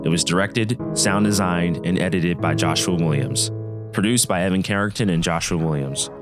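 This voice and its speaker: A man's voice: deep voice, moderate pace. A man reading scripted show credits as an announcer. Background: music with guitar.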